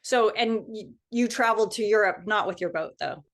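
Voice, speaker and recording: A woman hosting a casual interview over a video call, encouraging and quick to laugh. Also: mid-range voice. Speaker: host.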